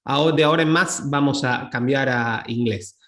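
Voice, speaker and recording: A man's voice: mid-range voice, fairly quick, fairly bright tone, reverberant. A man with a Latin American Spanish accent hosts a podcast, introducing his guest and asking questions with some hesitant, repeated words. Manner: hesitant, repeats words when switching to English. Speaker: podcast host. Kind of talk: podcast interview.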